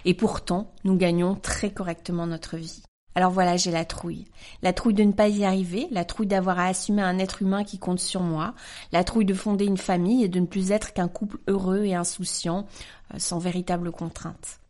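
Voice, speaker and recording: Gentle woman in her forties reading. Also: on the low side, steady tone, brisk. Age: 40s. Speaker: podcast host. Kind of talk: scripted reading of a letter and her reply.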